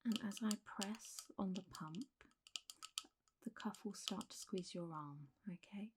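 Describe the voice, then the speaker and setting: A woman role-playing a doctor for ASMR, speaking softly and often in whispers, with a gentle, reassuring, unhurried bedside manner. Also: mid-range voice, heavy background noise. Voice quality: soft, breathy, often whispered. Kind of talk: whispered and soft-spoken ASMR medical roleplay.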